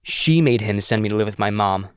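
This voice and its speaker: A man's voice: lowish voice, lively intonation, a little fast, smooth, no room sound, close to an everyday voice. A man plays a troubled ghost boy in a script table read. He is sullen and resentful, with an angry, bitter edge. The sound is close and dry. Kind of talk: screenplay table read acting.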